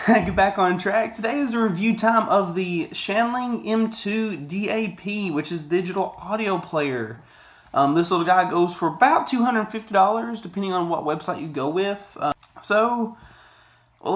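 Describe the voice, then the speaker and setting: A man's voice: high voice, lively intonation, moderate pace. A man in his thirties giving a casual, rambling, conversational product review to camera, often digressing. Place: small indoor office, sitting at a desk.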